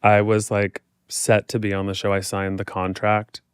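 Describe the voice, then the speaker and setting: A man's voice: on the low side, completely flat delivery, moderate pace, clear, resonant tone, no echo, clean studio sound. An American man chatting as a podcast guest, telling personal stories in an informal, candid, reflective way. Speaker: podcast guest, fitness instructor. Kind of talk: casual chat, personal storytelling.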